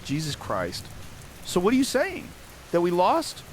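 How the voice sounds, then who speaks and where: Guy doing a shaken apocalypse radio host, bit higher voice, plain and uneasy, radio drama with some faint rain and hiss behind. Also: huge pitch swings, a little slow, exaggerated voice. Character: weary, shaken male survivor radio host. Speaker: emergency broadcast host. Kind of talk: scripted radio drama broadcast.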